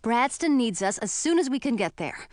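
A woman's voice: on the high side, very animated, normal speed, a little mellow, no echo, pitched above the performer's own voice. A devoted young fantasy heroine read by a woman narrator, stubborn and insistent. She speaks earnestly, a little raised from the narration, in a close dry recording. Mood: determined. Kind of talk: audiobook fantasy character voice.

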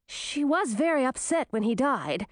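A woman's voice: high-pitched, very animated, measured pace, no echo, clean studio sound, pitched above the performer's own voice. A woman voicing an earnest, dutiful young princess in a storybook. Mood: questioning. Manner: earnest, questioning.

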